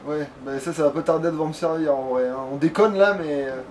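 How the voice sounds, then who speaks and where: A man plays and comments at a quick clip. His talk is slangy, crude and casual. There is some background noise on the recording. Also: fairly high pitch, a little mellow. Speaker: let's player at the controls. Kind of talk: casual gaming chat while playing.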